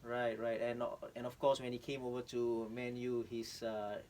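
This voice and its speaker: A man's voice: mid-range voice, little pitch movement, normal speed, a little mellow, slight background noise. A man from Singapore with a Singaporean English accent, hosting a podcast, full of brief affirmations and stammered restarts. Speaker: podcast host. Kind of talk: casual interview chat.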